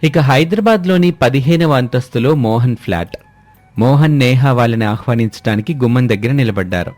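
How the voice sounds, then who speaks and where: A man's voice: medium pitch, fairly quick, darkish tone. A man narrating a scripted moral story as its storyteller. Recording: dry studio, music behind.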